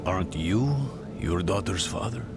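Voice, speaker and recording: An actor playing a terse, measured warrior hero who serves the spirits in a video game. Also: male voice, low-pitched. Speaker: player character. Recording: loud game soundtrack music behind. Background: game music.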